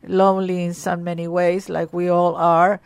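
A woman's voice: on the low side, flat delivery, normal speed, vocal fry, clean tone, dry recording. A woman hosting a call-in radio show, speaking emphatically and often repeating words as she starts a thought. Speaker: radio host.

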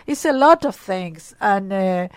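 A woman's voice: mid-range voice, expressive, takes it slowly, no room sound. A woman hosting a call-in radio show, speaking emphatically and often repeating words as she starts a thought. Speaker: radio host.

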